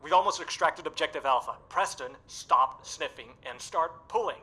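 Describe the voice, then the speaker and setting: A man's voice: on the high side, animated, moderate pace, sharp tone, breathy edge, heavily acted. A man voicing a blustering veteran raccoon sergeant who barks military orders. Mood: commanding. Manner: barking military orders, boastful.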